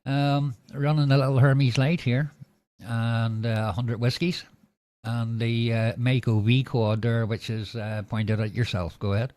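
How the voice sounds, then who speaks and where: A Northern Irish man chatting over the radio as an operator, measured and full of fillers, closing each turn with "go ahead". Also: medium pitch, level tone, darkish tone, no room sound. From Northern Ireland. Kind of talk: casual radio chat.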